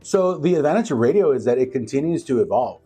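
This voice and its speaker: A man in his forties from Guatemala, a radio station owner telling his story in a relaxed, unhurried way with plenty of fillers. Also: medium pitch, slightly rough, faint music behind. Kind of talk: casual interview talk.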